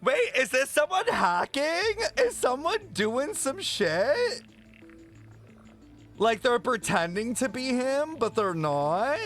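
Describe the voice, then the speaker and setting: A man livestreaming his reaction to a game, his high voice swinging wildly in pitch as he gasps, swears and riffs. He talks at an unhurried clip, campy and expressive, with faint game music underneath. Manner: excitable, campy, swears freely, says "girl" and "like" often. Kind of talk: casual reaction commentary.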